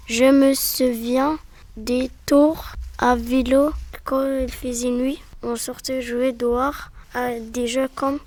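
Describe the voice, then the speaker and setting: High-voiced girl reading slowly. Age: teens. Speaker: student reading her text. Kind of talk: read-aloud personal account.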